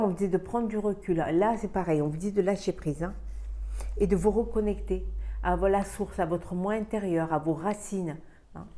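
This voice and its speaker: A woman's voice: fairly low pitch, dark tone. A woman with a cold giving a weekly card reading for the water signs, talking in a hesitant way with many fillers and repeated words. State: has a cold. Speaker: tarot reader.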